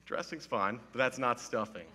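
A man in his thirties, a pastor, giving a casual, humorous sermon in a slightly hoarse voice, playful and mock-serious, on a headset mic on a church stage. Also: mid-range voice, lively intonation, normal speed, noisy background. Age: 30s. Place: church stage with wood-panelled wall. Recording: long hall reverb.

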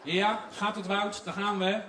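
A man's voice: high-pitched, little pitch movement, unhurried, noisy background. A man, presenting playfully and teasingly on stage as a show host. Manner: teasing, joking. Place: large theatre stage with choir. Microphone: handheld microphone. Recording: echoey stage, distant from mic.

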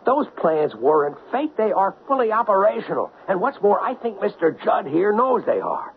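A man's voice: high voice, very animated, moderate pace. A man playing an impulsive, defiant cop on the run in a 1970s radio drama, talking urgently and insistently. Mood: indignant, alarmed. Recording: dull, faintly noisy 1970s radio recording.

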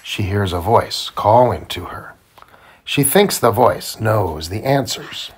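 A man reading a children's storybook aloud to his child in simple, deliberate phrasing. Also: fairly low pitch, lively intonation, slightly rough. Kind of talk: children's read-aloud narration.

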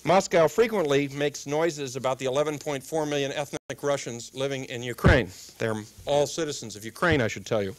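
A man's voice: mid-range voice, fairly quick, few pauses, low-quality recording. A middle-aged man with a dry, wry humor, giving a public lecture at a desk microphone in a lecture room. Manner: dry wry humor, quotes with comic timing. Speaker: guest speaker.

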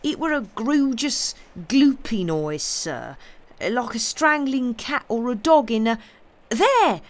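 A woman's voice: on the high side, sing-song, takes it slowly, voice pushed up. A woman voicing a frightened, superstitious male servant who babbles in rustic dialect. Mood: terrified. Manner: babbling, fearful, dialect-heavy.

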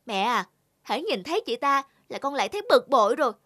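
A woman's voice: high-pitched, big pitch swings, brisk, heavy background noise. A woman voicing a spoiled, jealous, rude young stepsister in a children's cartoon, whiny and snappish. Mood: jealous, annoyed.

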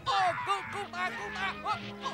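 A woman's voice: very high-pitched, level tone, quick pace, vocal fry. A woman voicing a hot-tempered, scheming old male wizard in a cartoon dub, scolding and exclaiming. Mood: panicked. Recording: loud music behind the voice. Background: loud music.

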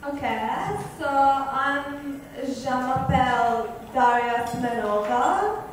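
A woman acting out halting, broken speech in a dramatic reading. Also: sits high. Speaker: reader. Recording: very echoey room, distant mic, faint noise.

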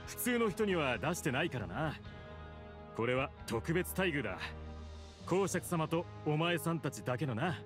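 A man's voice: on the high side, moderate pace. A male actor playing a genial, chummy middle-aged cafeteria cook in a Japanese anime game. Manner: lively, familiar service talk. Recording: studio voice under game music.

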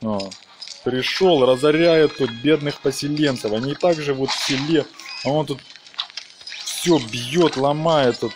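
A man's voice: mid-range voice, a little slow, noisy background. A man giving a casual, playful walkthrough commentary on a video game, chatting to the characters as he plays. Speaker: gaming video host.

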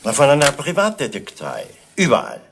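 An actor playing an embarrassed, well-to-do consul in a 1970s radio play, hesitant and polite. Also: male voice, mid-range voice, huge pitch swings, a little fast, creaky, noisy background. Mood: nervous. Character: flustered, well-to-do philandering consul.

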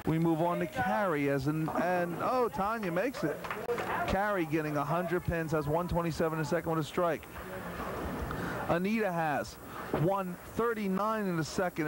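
A man with an American accent hosting live sports commentary from a bowling alley, with joking, teasing banter. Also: fairly high pitch. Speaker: bowling show commentator and host. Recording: noisy bowling alley, 1990s cable TV audio. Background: clear bowling alley noise.

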